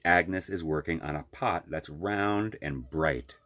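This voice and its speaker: A man reading a children's picture book aloud as a library storyteller, with deliberate phrasing and long pauses. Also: a bass voice, a bit bright.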